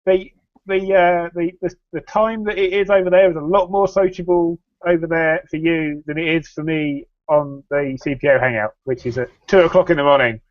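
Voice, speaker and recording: A man hosting a casual online chat, relaxed and chatty. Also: on the high side, moderate pace, no room sound. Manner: relaxed, chatty, a few restarts. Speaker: host. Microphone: online call. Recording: dull, dry lo-fi call audio.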